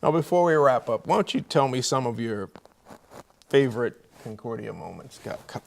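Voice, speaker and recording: A man's voice: medium pitch, expressive, moderate pace, vocal fry. A man with an American accent hosting a sports interview show, putting conversational questions to his guests. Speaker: host of a college football coaches' show.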